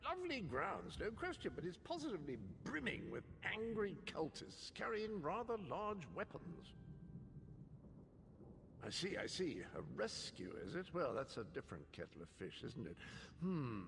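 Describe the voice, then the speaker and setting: A man's voice: fairly high pitch, big pitch swings, normal speed, gravelly, in a large hall, background noise. A man voicing a jolly, eccentric old knight with a British accent in a video game, theatrical and cheerfully pompous. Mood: whimsical. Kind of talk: video game character dialogue.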